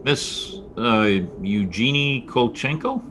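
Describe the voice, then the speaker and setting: An actor playing a hard-boiled, wisecracking private detective in a 1940s-style radio drama, with a wry, clipped delivery. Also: male voice, mid-range voice, expressive, takes it slowly, fairly bright tone, slight background noise. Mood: flippant, tough.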